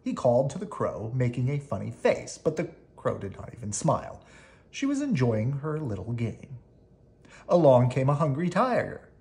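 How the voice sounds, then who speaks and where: A storybook reader giving an expressive read-aloud narration for children. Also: medium pitch, moderate pace.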